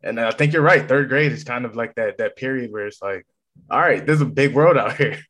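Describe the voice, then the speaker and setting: A man's voice: mid-range voice, brisk, fairly bright tone. An American man hosting a show, speaking informally in a casual, chatty interview style. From Massachusetts, United States. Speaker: show host.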